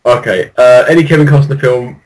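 A man co-hosting a podcast in casual, unscripted banter with a playful, excitable manner. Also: mid-range voice, husky, poor audio. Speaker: podcast host.

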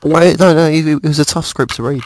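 A man's voice: fairly high pitch, brisk. A man co-hosting a podcast, chatting casually and often repeating words in stuttering bursts. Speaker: podcast co-host. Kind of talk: casual banter among friends, with a dramatic reading.

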